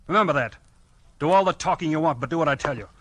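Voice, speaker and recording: An actor playing a gruff, tough and weary small-town police chief, terse and bossy, in 1940s radio crime drama style. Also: male voice, on the high side, quick pace, a bit bright, a little hiss or hum, close to an everyday voice. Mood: angry, threatening.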